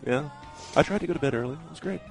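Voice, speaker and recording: A man co-hosting a talk radio show, energetic and upbeat. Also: on the high side, very fast, gravelly, over music, rough recording. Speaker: co-host.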